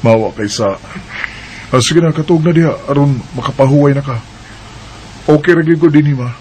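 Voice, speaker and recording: A man's voice: a little high, brisk, darkish tone, a little hiss or hum, natural voice, poor audio. A man voicing a resentful young man who defies his parents in a radio drama. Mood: weary quiet. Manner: bitter, sarcastic.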